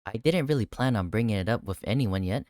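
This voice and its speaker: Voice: mid-range voice, animated, fast talker, darkish tone, no room sound. Gaming streamer with a very dry, close sound. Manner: reads dialogue aloud, breaking into startled reactions. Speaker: video game streamer. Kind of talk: gaming playthrough, reading visual novel text aloud.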